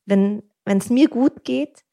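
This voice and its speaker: A woman's voice: medium pitch, takes it slowly, vocal fry, mellow. Reflective woman explaining with examples. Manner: reflective, explains with everyday examples and frequent ums. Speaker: couples therapist. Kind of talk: podcast conversation.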